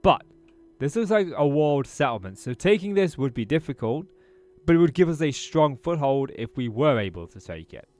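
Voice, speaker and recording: A man's voice: on the high side, very animated, moderate pace, darkish tone. A British man commentating casually on a strategy game he is playing, thinking aloud, his voice a little off after a throat infection. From Britain. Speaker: gaming YouTuber. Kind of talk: casual let's-play commentary.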